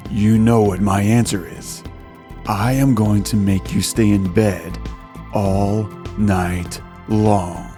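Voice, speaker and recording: Low-voiced devoted billionaire husband. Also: male voice, fairly even intonation, slow pace, dark tone, faint music behind. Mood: tender, intimate. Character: devoted, quietly commanding billionaire CEO husband. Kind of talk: romantic audio drama acting.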